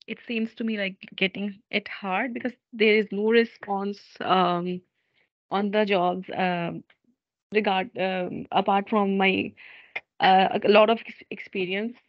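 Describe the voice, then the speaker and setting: Brief: woman, mid pitch, hesitant questioner, dry and dull video-call audio. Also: fairly even intonation, a little slow, no room sound. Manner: hesitant, many fillers and restarts. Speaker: student asking a question. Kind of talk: question in online seminar.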